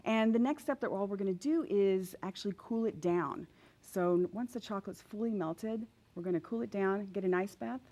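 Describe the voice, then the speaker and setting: A woman's voice: mid-range voice, fairly quick, dark tone. A woman from Louisiana, a guest chef on a TV kitchen set, cheerfully explaining each step as she cooks. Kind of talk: cooking demonstration.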